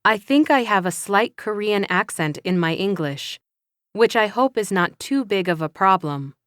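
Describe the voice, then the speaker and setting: A woman playing an encouraging but firm English teacher, speaking slowly and carefully in a scripted classroom dialogue. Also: mid-range voice, animated, a bit bright, smooth, no room sound, studio quality, lightly acted. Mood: instructive. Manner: slow, careful, instructive delivery.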